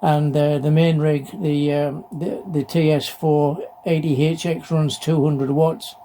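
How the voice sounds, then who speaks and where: A middle-aged or older man talking casually in an unscripted hobby vlog, halting and hesitant, often repeating his phrases. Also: a little high, level tone, warm tone. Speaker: amateur radio hobbyist presenting a video. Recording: clearly noisy background.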